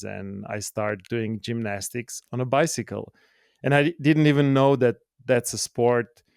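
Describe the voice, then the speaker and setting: Croatian man with a smooth voice. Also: mid-range voice, a little mellow, dry recording, studio quality. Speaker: guest. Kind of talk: casual interview storytelling. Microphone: remote call.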